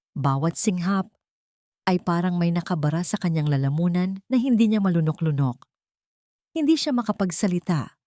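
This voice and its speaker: Woman narrating drama, lower-pitched, close and dry. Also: dark tone, no room sound. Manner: solemn, emotive storytelling. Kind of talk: dramatic scripted story narration.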